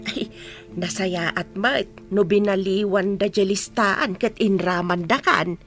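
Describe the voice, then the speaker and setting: A woman's voice: medium pitch, fairly even intonation, measured pace, a bit bright, faint music behind. A woman with an Ilocano accent playing a caring motherly village elder in a radio comedy drama. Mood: reassuring, insistent.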